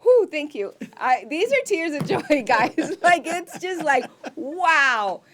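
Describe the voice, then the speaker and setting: A woman who grew up in Miami, an actress guest chatting in an interview, warm and effusive, with frequent ums and restarts. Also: high voice, big pitch swings, slow pace, a little hiss or hum. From grew up in Miami, United States. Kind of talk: casual interview chat.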